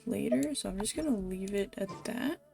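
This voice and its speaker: A woman's voice: medium pitch, expressive, moderate pace, gravelly, warm tone, faint music behind. A woman game streamer chatting casually to viewers as she plays. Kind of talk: casual livestream chat while playing a game.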